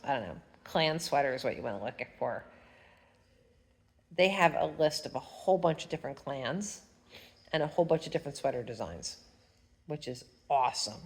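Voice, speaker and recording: A woman with a stuffy nose, chatting casually at home while showing her knitting, humming to herself as she works. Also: sits low. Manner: chatty, rambling, hums while working. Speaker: fiber arts vlogger. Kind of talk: casual knitting tutorial chat.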